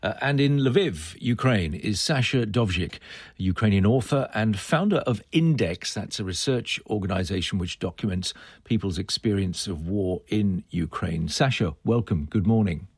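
A man hosting a radio news programme, introducing guests in a measured, formal broadcast manner from a studio. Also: lowish voice, lively intonation, husky, no room sound. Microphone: studio microphone.

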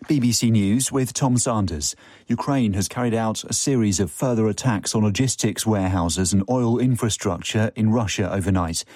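A male newsreader with a low, mellow voice and a measured, formal delivery. He is recorded close to the mic in a dry studio with no room sound. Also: dry recording. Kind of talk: scripted news bulletin.

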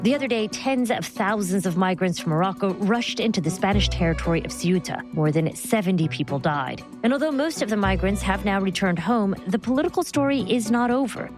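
A woman hosting a news program, narrating in a clear, conversational storytelling style. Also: medium pitch, lively intonation, fairly quick, few pauses, music in the background. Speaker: program host. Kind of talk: scripted radio narration.

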